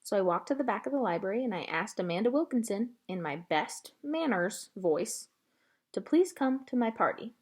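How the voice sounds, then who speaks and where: A woman narrating a children's book aloud in an expressive, scripted storytelling style. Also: mid-range voice, moderate pace.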